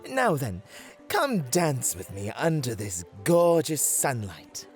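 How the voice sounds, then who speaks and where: A man performs a vain, playful satyr trickster for a fantasy roleplay. He takes his time over flowery, coaxing phrases, swinging his pitch with teasing theatricality, with music beneath. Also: huge pitch swings, slow, exaggerated voice. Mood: teasing, smug. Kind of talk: fantasy roleplay ASMR acting.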